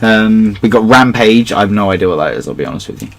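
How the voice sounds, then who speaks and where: A man's voice: on the low side, lively intonation, fast, gravelly. A man hosting a casual pop culture podcast, talking like a keen fan with friends. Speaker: podcast host.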